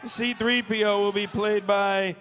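A man's voice: sits high, little pitch movement, a little slow, a bit bright, some chatter behind. A man in his thirties announcing roles as moderator of a live panel. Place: large convention hall stage. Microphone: handheld or stand microphone. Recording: reverberant hall, slight noise.

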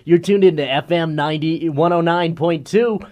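A man's voice: on the high side, normal speed, a little mellow, lightly acted. A man playing an upbeat, hip FM radio DJ in a radio drama, with breezy, slangy, showy patter. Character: upbeat, hip male late-night FM radio DJ. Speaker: radio DJ co-host.

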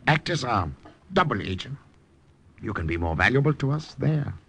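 An actor playing a smooth, calculating German military commander in an old radio drama. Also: male voice, medium pitch, very animated, normal speed, a bit bright, exaggerated voice. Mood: persuasive. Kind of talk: 1940s-50s radio drama acting.

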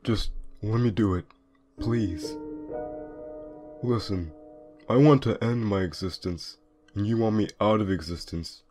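A man voices a weary skeleton who has given up. His fairly low voice moves slowly, downcast and pleading, in a subdued comic dub performance over music. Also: natural voice. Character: weary, resigned male skeleton, pleading to end himself.

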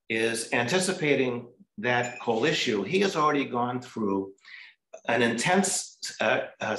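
An older man giving thoughtful film analysis on a video call from home, deliberately searching for words. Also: mid-range voice, a bit bright. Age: older, 60s or 70s. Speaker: discussion participant. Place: room at home, indoors. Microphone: video call, computer at some distance. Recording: roomy, echoey video-call audio.

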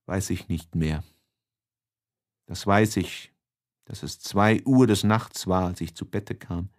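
A man narrating a literary audiobook in an expressive, measured, dramatic way. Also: mid-range voice.

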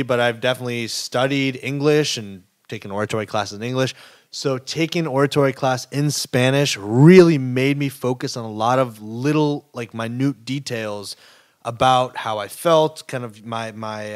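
A young man telling a story on a podcast, casual and chatty, with lots of filler words. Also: mid-range voice. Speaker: kombucha company co-founder.

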